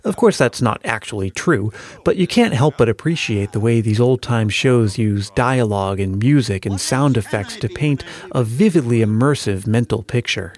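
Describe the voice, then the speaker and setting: A man's voice: mid-range voice, normal speed, darkish tone. An American man narrating a scripted podcast as its host. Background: faint music.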